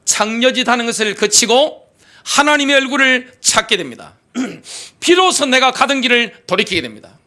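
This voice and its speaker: A middle-aged male pastor delivering an impassioned sermon, firing off rhetorical questions, then dropping to quiet asides. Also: extremely high pitch, big pitch swings, normal speed. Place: church sanctuary.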